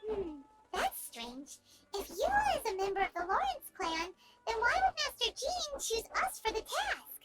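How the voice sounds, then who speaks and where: A woman playing a tiny, childlike, excitable fairy companion in a video game, with a squeaky, blunt, chirpy delivery. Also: measured pace, very noisy, exaggerated voice. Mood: curious. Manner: chirpy, blunt, third-person self-reference. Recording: loud game music behind.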